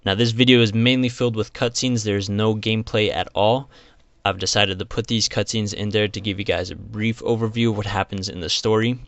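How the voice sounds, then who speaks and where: A man hosting a video channel, giving a measured, deliberate introductory voice-over to viewers. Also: on the low side, steady tone, dry recording.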